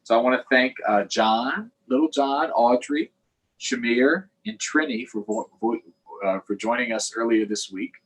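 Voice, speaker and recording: A man with a slightly rough, husky voice hosts a children's storytime. His pitch moves up and down freely as he reads aloud and speaks straight to his young audience. The delivery is expressive and conversational. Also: unhurried, poor audio. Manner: addresses young viewers directly. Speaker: storytime host, councilmember. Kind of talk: children's read-aloud and live chat.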